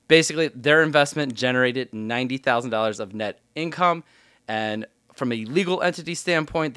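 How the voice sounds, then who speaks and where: A man's voice: medium pitch, a bit bright, clear, resonant tone, no echo, clean studio sound. A man giving an explanatory tutorial as an instructor, talking informally through worked examples step by step. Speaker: accounting instructor.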